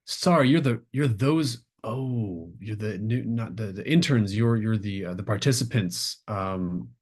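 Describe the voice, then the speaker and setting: A man acts out a nervous institute clerk with a low voice. He is officious yet apologetic, stumbling and correcting himself as he goes, in a tabletop game. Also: darkish tone, slightly rough. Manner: halting, apologetic, self-correcting. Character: flustered, hesitant male institute clerk, stammering and officious.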